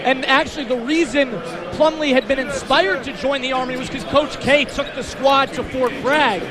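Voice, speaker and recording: A man's voice: very high-pitched, normal speed, crowd noise. A man giving conversational color commentary as the analyst on a live basketball broadcast.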